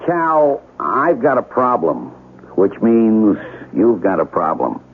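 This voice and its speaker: An actor playing a weary, blunt business buyer in a radio drama, matter-of-fact with a sardonic edge. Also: male voice, lowish voice, very animated, slow pace, slight background noise, exaggerated voice. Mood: resigned, sardonic. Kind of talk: 1970s radio drama acting. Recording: tinny old radio broadcast.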